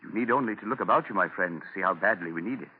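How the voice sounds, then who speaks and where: Husky colonial governor on old radio. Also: male voice, low voice, very fast. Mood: weary, grateful. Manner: formal, courteous. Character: weary, courteous colonial governor, welcoming leader. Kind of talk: 1940s radio drama acting. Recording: tinny 1940 radio recording.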